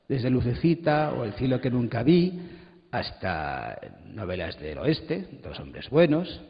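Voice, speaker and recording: Echoey muffled hall, man, medium pitch, wry theatrical storytelling, trailing phrases. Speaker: radio drama panelist. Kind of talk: storytelling talk on a panel. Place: large hall at a congress. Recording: muffled, long hall reverb.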